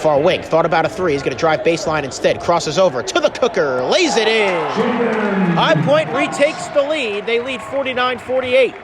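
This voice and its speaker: A high-voiced man calls live basketball for radio over a loud crowd and heavy arena noise. He follows the ball in clipped phrases and stretches out his calls excitedly when shots drop. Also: normal speed. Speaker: play-by-play basketball commentator. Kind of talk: live radio play-by-play commentary. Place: indoor college basketball arena.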